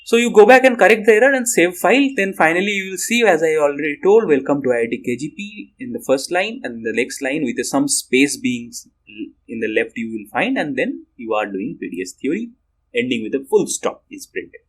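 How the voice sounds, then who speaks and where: A middle-aged Indian English lecturer whose voice sits a little high. His pitch rises and falls a lot, and he trips over and restarts phrases as he explains. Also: a little fast, low-quality recording. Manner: rambling with restarts, lively rising and falling pitch. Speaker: university lecturer. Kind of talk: recorded programming lecture.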